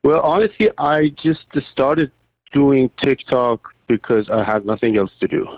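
A man who grew up in Iran, a surgeon and poet, answering interview questions haltingly with many fillers and restarts. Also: medium pitch. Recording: tinny phone line.

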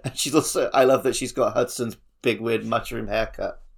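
A man's voice: mid-range voice, fairly even intonation, normal speed, creaky. A man co-hosting a casual video game podcast, chatting informally. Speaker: podcast co-host.

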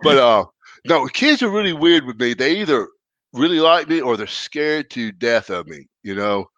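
A stuffed-up, congested man talks in a loose, rambling way, restarting his sentences and poking fun at himself. His voice sits in an ordinary middle pitch. The sound is close and dry, with no room around it. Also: lively intonation, moderate pace, fairly bright tone, dry recording, lo-fi sound. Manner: rambling, self-mocking, frequent restarts. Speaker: co-host. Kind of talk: casual podcast chat.

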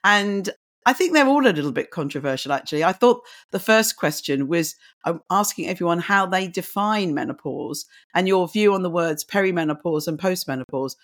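A woman hosting a health podcast, hoarse and croaky from little sleep, reading a guest's introduction and asking interview questions. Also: fairly low pitch, animated, moderate pace. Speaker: podcast host.

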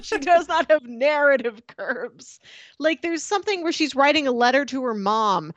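A woman's voice: sits high, animated, normal speed, dry recording. A woman co-hosting a casual book-club podcast, chatty and laughing between remarks. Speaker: podcast co-host.

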